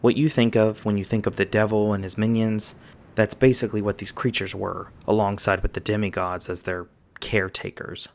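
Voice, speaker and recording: Guy doing a laid-back wizard guide, deepish creaky voice, folksy asmr roleplay storytelling. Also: little pitch movement, a little fast, a little mellow. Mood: storytelling, thoughtful. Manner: folksy, patient explaining. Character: easygoing male magi guide, folksy, learned storyteller.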